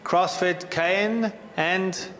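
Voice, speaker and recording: Upbeat male commentator, slightly high voice, in a reverberant arena. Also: steady tone, very slow, fairly bright tone, a little hiss or hum. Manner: upbeat, joking, chatty with restarts. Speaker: event commentator. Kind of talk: live sports commentary. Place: large event hall. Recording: long reverb in a big hall, faint ambience.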